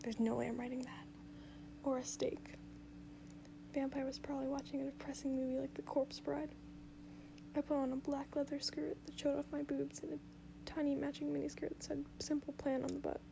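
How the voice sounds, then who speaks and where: A woman reading a story aloud in a soft whisper for sleep, indoors at home. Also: sits high, level tone, brisk, gravelly, noisy background. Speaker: reader.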